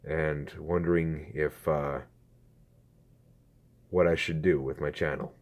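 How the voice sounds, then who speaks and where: A man in his twenties talks to his viewers through a phone camera, earnest and halting, with a strained throat. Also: very deep voice, fairly even intonation. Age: 20s. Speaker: YouTuber. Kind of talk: casual talk to camera.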